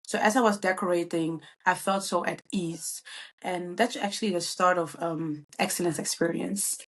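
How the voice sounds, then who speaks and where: A woman's voice: on the low side, fairly even intonation, normal speed. A bubbly woman. She answers interview questions casually, with frequent ums and uhs. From Netherlands. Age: 20s. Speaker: guest, event planner.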